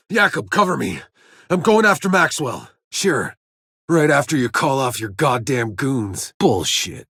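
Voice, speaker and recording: A man playing a gruff, sardonic, battle-worn soldier in a sci-fi video game, with a gritty, husky voice and a terse, dry delivery. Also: fairly high pitch, fairly bright tone, dry recording, full character voice. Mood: urgent, shouting, then angry, bitter.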